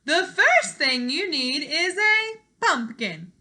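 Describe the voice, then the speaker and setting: A woman voicing a kindly small fairy godmother from a storybook, gentle and coaxing. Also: high-pitched, sing-song, takes it slowly, voice pushed up, full character voice. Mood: gentle, encouraging.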